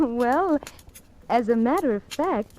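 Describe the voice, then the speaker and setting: A woman's voice: fairly high pitch, expressive, slow pace, dark tone. An actress in 1940s radio style playing a nervous, coy young woman sleuth who speaks hesitantly, tender yet determined. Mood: coy, flustered. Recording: noisy vintage radio recording with clicks.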